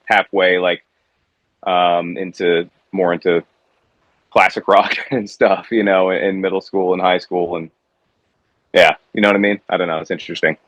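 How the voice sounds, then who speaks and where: A man from the United States, a guest musician, talking in a reflective, halting way with many restarts. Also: low-pitched, level tone, fairly bright tone, no room sound, muffled recording. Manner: reflective, halting with repeated starts. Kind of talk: casual conversation.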